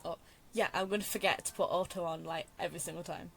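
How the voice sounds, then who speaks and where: A woman's voice: fairly low pitch, fairly even intonation, fast talker, vocal fry, faint music behind. Young woman rambling over a video game. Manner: rambling, thinking aloud. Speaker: gamer commenting on her playthrough. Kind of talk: casual let's play commentary.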